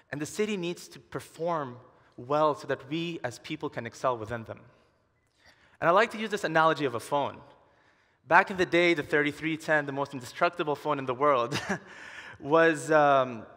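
A man in his twenties delivers a prepared stage presentation. His voice sits somewhat higher than most men's. The sound carries the long reverb of a large hall, picked up on a headset mic. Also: a bit bright. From Dubai. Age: 20s. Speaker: conference speaker, entrepreneur and urban designer.

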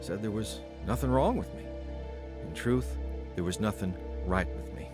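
A man's voice: lowish voice, animated, moderate pace, music in the background, natural voice. An actor playing a remorseful man, earnestly narrating his troubled, drug-addicted youth in a radio drama. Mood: troubled, regretful. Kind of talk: scripted first-person radio drama narration.

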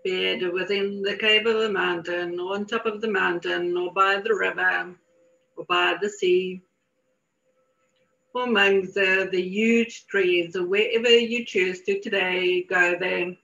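A woman leading a guided meditation in a soothing, deliberate manner with repeated phrases. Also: lowish voice, steady tone, vocal fry, fairly bright tone. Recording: dull lo-fi audio.

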